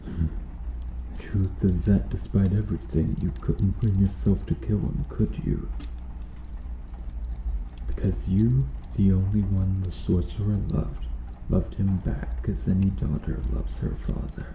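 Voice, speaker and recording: A man playing a stern medieval knight in an ASMR roleplay, questioning formally and earnestly with dramatic pauses. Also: low-pitched, steady tone, dark tone, reverberant, a little hiss or hum, low-quality recording. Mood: solemn, insistent. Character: stern medieval knight interrogating an accused noble witch.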